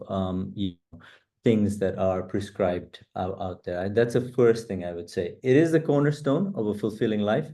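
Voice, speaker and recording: A middle-aged man from the UK, answering interview questions in a measured, earnest way with frequent fillers. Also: fairly low pitch, dark tone. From UK, born in East Africa. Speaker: guest, coach.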